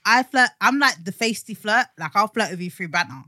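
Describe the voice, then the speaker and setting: A woman co-hosting a casual podcast, blunt and bantering, speaking in an unhurried way. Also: medium pitch, expressive, sharp tone, no echo, studio quality. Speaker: podcast co-host.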